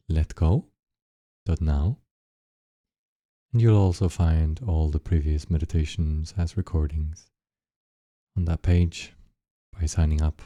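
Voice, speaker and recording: A man leading a guided meditation, giving gentle, unhurried instructions with long pauses between short phrases. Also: extremely low pitch, dry recording.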